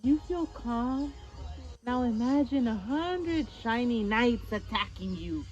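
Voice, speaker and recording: A kindly storybook knight, played by a woman, coaxing and patient. The delivery is high and expressive, on dull lo-fi audio. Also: slow pace. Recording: dull lo-fi audio with music behind.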